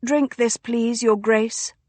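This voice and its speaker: A woman voicing a tired young woman who speaks gently while nursing a sick man. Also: on the high side, fairly even intonation, slow pace, dry recording. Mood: gentle. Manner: gentle, soft-spoken.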